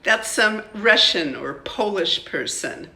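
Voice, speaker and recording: An older woman voicing a mocking, spiteful schoolgirl from a children's storybook, with taunting, drawn-out emphasis. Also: mid-range voice, animated, pushed and bright, echoey room, pitched above the performer's own voice, heavily acted.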